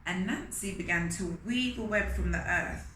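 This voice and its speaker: A woman's voice: fairly low pitch, moderate pace, a bit bright. A woman reading a picture book aloud to children, expressively, with asides and questions to her young listeners. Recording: roomy echo, faint background noise.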